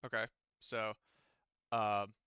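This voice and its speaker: A man's voice: on the low side, level tone, slow, sharp tone, smooth, no room sound, highs cut off. A man acting as game master, patiently explaining the rules of a tabletop roleplaying game step by step.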